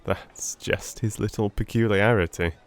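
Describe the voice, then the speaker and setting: A man playing a young gentleman friend in a theatrical audiobook, knowing and teasingly enigmatic in manner. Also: lowish voice, fairly even intonation, unhurried. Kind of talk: theatrical audiobook character acting.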